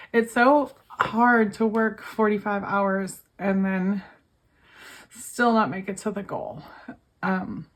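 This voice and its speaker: Woman with a cold, hoarse and tired. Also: medium pitch. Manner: tired, chatty, addresses viewers as you guys. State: losing her voice from a cold. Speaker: budgeting vlogger. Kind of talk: casual chat to camera.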